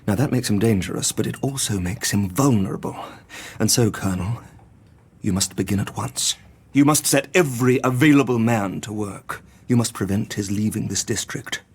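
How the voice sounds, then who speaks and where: A man playing an earnest, grave doctor in an audio drama, speaking and narrating in an articulate, measured way. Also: lowish voice, slightly rough. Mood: grave urgency.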